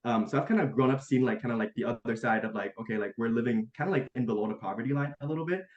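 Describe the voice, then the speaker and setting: A young man from Vietnam talks quickly and keeps things friendly and upbeat, often calling his host "man." His voice comes through a dull, muffled video call line that carries a fair bit of room echo. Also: medium pitch, darkish tone. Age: young adult. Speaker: guest, college student. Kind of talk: casual interview chat.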